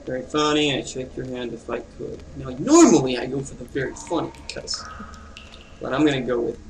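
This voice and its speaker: A man's voice: medium pitch, fairly quick, slight background noise. A man giving casual, informal let's play commentary with reactive asides. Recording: slightly distant mic, game music behind.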